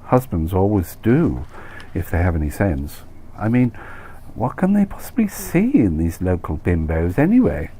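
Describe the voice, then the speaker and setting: A man's voice: sits low, big pitch swings, moderate pace, dark tone, slight background noise. A man voicing a chatty, nosy middle-aged expat wife who dispenses worldly, condescending advice in a comic story reading. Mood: blasé, advising. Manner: gossipy, cliché-laden, condescending advice-giving. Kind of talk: acted dialogue in comic short story reading.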